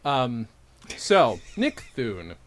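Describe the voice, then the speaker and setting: A man's voice: mid-range voice, drawn-out words. A man from upstate New York hosting a podcast, chatting casually, going off on tangents and poking fun at himself. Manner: animated, tangent-prone, self-deprecating. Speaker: podcast host.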